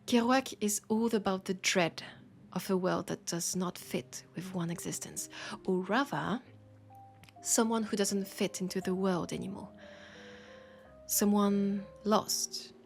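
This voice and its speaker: A woman with a French accent playing a weary, passionate bookshop owner in an intimate roleplay, softly and pensively teasing a vampire customer. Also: mid-range voice, gravelly. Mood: musing, passionate. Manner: unhurried, pensive, teasing, with sighs and pauses. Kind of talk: intimate audio roleplay, scripted monologue addressed to listener.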